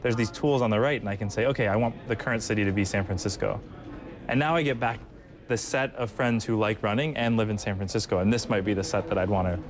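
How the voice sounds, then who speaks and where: A man's voice: on the low side, quick pace. A man giving a casual, step-by-step product explanation in an interview. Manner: informal, explains step by step with examples. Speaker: product manager being interviewed.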